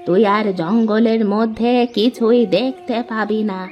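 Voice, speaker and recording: A woman's voice: a little high, fairly even intonation, measured pace, natural voice. A woman voicing a kindly old forest-dwelling woman in a moral-story cartoon, probing and motherly. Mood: consoling. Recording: dull lo-fi sound, music behind.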